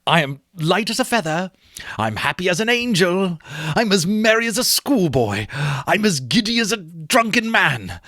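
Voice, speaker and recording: Casting: male reader as an excitable old man, high, swooping voice, breathless exclamations, classic storybook character. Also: moderate pace, dry recording, clean studio sound, exaggerated voice. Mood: giddy joy.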